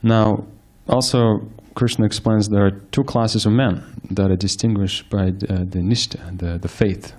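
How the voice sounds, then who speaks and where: A man giving a scripture lecture, soft-spoken and hesitant, with frequent fillers and restarts. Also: on the low side, fairly even intonation, a little slow, few pauses. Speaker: speaker giving a scripture class. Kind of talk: sermon-style lecture. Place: temple hall with live audience.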